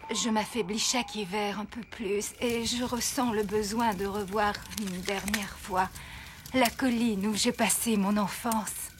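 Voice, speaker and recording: A woman playing a frail, kind, wistful old woman in a French cartoon, with a soft voice that turns breathy at times. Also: medium pitch, steady tone, a little slow, background noise, natural voice. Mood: resigned, earnest. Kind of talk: French animated series dubbing.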